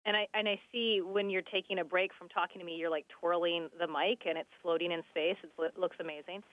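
A woman's voice: lowish voice, steady tone, fairly quick, dry recording. A woman hosting a podcast, asking casual, chatty interview questions over a radio link. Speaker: podcast host. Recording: tinny radio link, dry.

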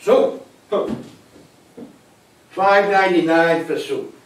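Heard from across an echoey theater, a stubborn old grandfather played by an older man argues drily in a high-pitched voice. He is a thrifty immigrant in a comic stage play. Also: slow. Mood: argumentative. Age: older, seventies or over. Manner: dry, argumentative. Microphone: distant camera microphone in the audience. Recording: distant, echoey stage recording with noise.

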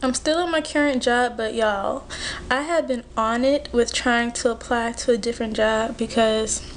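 A woman's voice: a little high, normal speed, slight background noise, rough recording. Chatty young woman vlogging from her bedroom. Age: 20s. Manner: chatty, animated, lots of "like" and "you know". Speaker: beauty vlogger. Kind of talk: casual chit-chat while doing makeup. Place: bedroom at home. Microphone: built-in camera mic at close range.

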